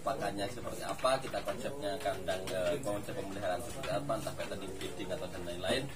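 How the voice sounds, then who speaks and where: A man's voice: sits low, fairly even intonation, quick pace. A man in his twenties, a goat and sheep farmer, giving plain, practical advice in a casual chat. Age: 20s. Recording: far from the mic, loud crowd and birds.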